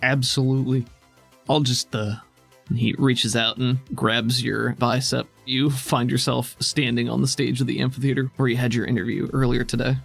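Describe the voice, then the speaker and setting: A man's voice: mid-range voice, little pitch movement, normal speed, creaky, hardly any pauses, faint music behind. A man narrating a tabletop role-playing game as its game master.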